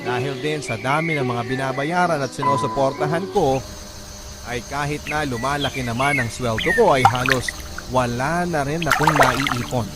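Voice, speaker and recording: A man's voice: medium pitch, moderate pace, lightly acted. A man playing a guilt-ridden overseas worker father, telling his life story as an earnest, sorrowful radio confession. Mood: regretful. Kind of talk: dramatic first-person radio confession. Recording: loud background music under voice.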